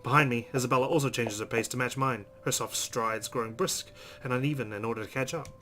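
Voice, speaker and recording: A man's voice: medium pitch, quick pace, pushed and bright, slight background noise. A man narrating a visual novel aloud as a let's player, reading it dramatically with brief asides.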